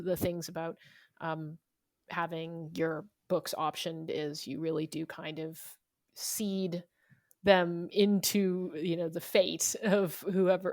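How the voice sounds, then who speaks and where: A woman speaks in a fairly low voice with very little room sound. She is an interviewed novelist, chatty and informal, explaining things as she goes. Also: vocal fry, dry recording, studio quality. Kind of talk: conversational interview answers.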